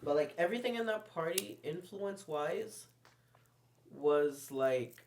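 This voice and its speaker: Hesitant Caribbean-born man, halting. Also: on the high side, expressive, a little hiss or hum. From Caribbean; born in Guyana, grew up in Antigua. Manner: hesitant, halting storytelling with many fillers. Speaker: guest. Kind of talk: casual podcast chat.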